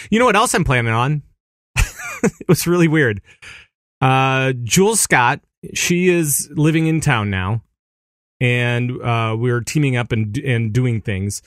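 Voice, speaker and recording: A man's voice: medium pitch, animated, a little slow, pauses often, no echo, studio quality. A man co-hosting a podcast, talking casually and rambling, with frequent restarts and easy laughter. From Minneapolis area, USA. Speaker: podcast co-host.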